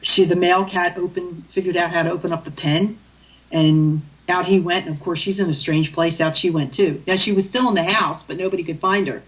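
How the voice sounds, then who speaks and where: A woman's voice: on the low side, steady tone, brisk, far from the mic, low-quality recording. A woman, a guest animal communicator, telling stories in a rambling, chatty way with many restarts. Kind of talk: storytelling in a radio interview.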